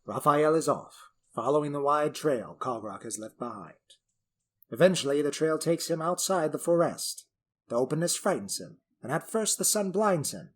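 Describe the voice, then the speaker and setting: Husky, higher-pitched male storyteller. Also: lively intonation, moderate pace, fairly bright tone. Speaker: narrator. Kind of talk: scripted fantasy story narration.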